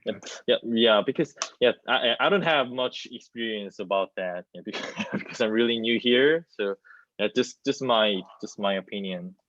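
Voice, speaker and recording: A man's voice: lowish voice, normal speed, a bit bright, dry recording. A man in his twenties, a non-native English speaker, talking hesitantly as a student panelist and searching for words on a dull video call. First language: not English. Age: 20s. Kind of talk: informal panel discussion. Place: plain room indoors. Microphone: wireless earbuds on video call.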